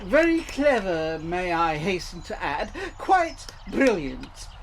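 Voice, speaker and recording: An actor playing a foolish, flattering, long-winded man in a comedy audio drama, speaking in a pompous, muddled way. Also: high voice, slow, slight background noise, heavily acted.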